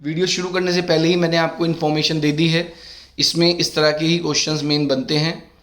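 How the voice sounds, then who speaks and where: A middle-aged male teacher with a sore throat, running an exam-prep lesson and calling his students beta while reading questions out slowly. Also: fairly high pitch, flat delivery, fairly bright tone. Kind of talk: exam-prep lesson in Hindi mixed with English.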